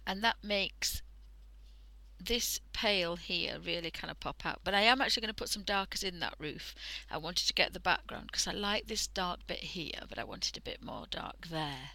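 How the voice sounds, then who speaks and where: A woman whose voice is croaky from a bad cold, yet still bright and forward in tone. She talks casually into a headset while drawing, thinking aloud and often sinking into soft half-whispers. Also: lowish voice, animated, moderate pace, no echo, low-quality recording. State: hoarse from a cold. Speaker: art livestream host. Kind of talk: casual drawing tutorial commentary.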